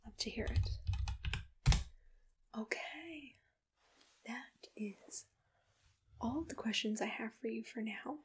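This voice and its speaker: Slow, breathy whispering ASMR woman. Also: on the high side. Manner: gentle, reassuring, patient questioning. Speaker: ASMR roleplay host playing a matchmaker. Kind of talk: ASMR personal-attention roleplay, unscripted interview questions. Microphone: close-up microphone.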